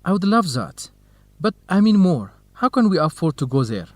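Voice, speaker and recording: A cautious, good-natured graduate student in a radio drama. His voice sits slightly high for a man and moves with lively intonation, in a dry studio sound. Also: moderate pace, no room sound. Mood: curious, unsure. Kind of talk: scripted educational radio drama dialogue.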